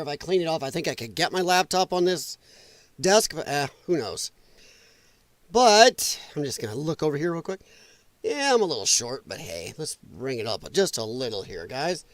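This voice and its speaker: Rambling high-voiced man streaming late. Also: no room sound. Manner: rambling, self-deprecating, chatting to viewers in the chat. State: rough voice, occasional coughing. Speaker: livestream host. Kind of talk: casual late-night livestream chat. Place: home room at night, animals nearby.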